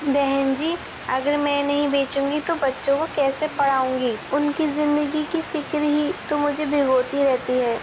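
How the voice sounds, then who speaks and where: High voiced cartoon mom selling veggies, tough but pleading, faint noise around. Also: female voice, little pitch movement, measured pace. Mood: determined. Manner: pleading yet resolute. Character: hardworking poor single mother vegetable seller, determined.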